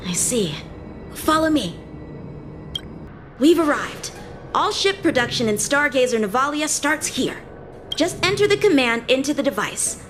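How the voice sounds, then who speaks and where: A woman's voice: high-pitched, sing-song, measured pace, pauses often, soft background music, exaggerated voice. A woman playing an eager, candid teenage boy knight in a video game, chatty and boyish. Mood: helpful, curious. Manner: bright-eyed, chatty, boyish.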